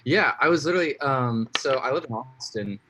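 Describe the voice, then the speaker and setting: A man's voice: mid-range voice, expressive, brisk, sharp tone, a little hiss or hum, low-quality recording. A young man from Mexico City and Dallas, a guest in a casual interview over a video call, rambling with lots of fillers. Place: home room.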